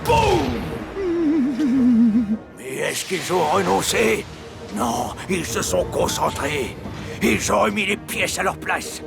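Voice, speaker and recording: A man voicing a boastful, excitable old steam locomotive with a theatrical, rousing manner in a cartoon dub. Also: high-pitched, slow pace, creaky, long pauses, heavily acted. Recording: music behind the voice.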